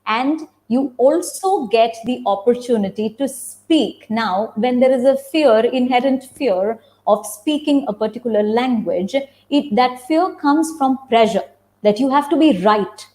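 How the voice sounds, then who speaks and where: A woman's voice: on the high side, unhurried, dark tone. A woman theatre practitioner explaining ideas on a webinar, stressing her points by repeating key phrases.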